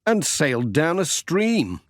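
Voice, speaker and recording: A cheerful village postman, voiced by a man, good-natured and friendly. His voice swoops expressively and sits a little high, in children's storybook style. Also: big pitch swings, slow, no room sound, clean studio sound. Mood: matter-of-fact.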